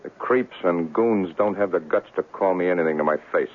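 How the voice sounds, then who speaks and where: An actor playing a tough, cocky private investigator in a 1970s radio drama, talking in clipped slang. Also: male voice, low voice, a little fast. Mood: hard-boiled, narrating. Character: tough hard-boiled male private investigator, cynical and cocky. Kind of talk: 1970s radio drama acting, noir narration. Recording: muffled old radio broadcast.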